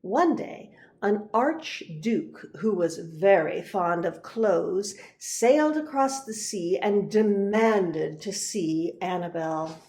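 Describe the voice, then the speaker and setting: A woman narrating a children's picture book, expressive and deliberate, pausing for emphasis. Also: mid-range voice. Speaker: reverend reading a picture book.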